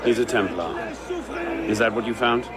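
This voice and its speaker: An actor playing a resolute, guarded assassin in a video game, speaking in a terse, controlled way. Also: male voice, on the low side, normal speed, a loud crowd, heavy background noise, close to an everyday voice. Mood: grim, determined. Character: resolute, guarded male assassin investigator on a revenge hunt. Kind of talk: cinematic game voice acting. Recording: loud music behind. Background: loud game score.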